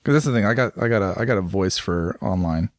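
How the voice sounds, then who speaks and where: A man's voice: sits low, fast, no room sound, studio quality. A man co-hosting a motorsport podcast, chatting casually in a rambling, self-deprecating way with frequent restarts. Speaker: podcast co-host, motorcycle news site owner. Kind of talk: casual chat.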